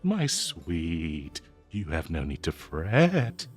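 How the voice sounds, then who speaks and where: Slow, low, obsessive cursed dagger. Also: male voice, wildly swooping pitch, soft background music, exaggerated voice. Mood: cooing, sinister. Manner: melodramatic, cooing, slow drawn-out delivery with manic giggles. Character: possessive, lovestruck, bloodthirsty cursed talking dagger, male. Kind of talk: theatrical audio roleplay monologue addressed to the listener.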